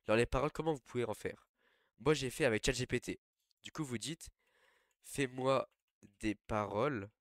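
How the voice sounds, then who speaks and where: A man's voice: lowish voice, no room sound, clean studio sound. A young man with a cold talking through a casual, rambling screen tutorial, full of fillers. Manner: relaxed, rambling with many fillers. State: stuffy from a cold. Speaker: tutorial host.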